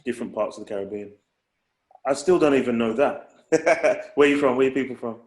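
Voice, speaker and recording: A man's voice: medium pitch, a little fast, darkish tone, echoey room. A man, a guest in casual conversation, reflective and rambling with restarts. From England. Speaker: guest, hip hop artist.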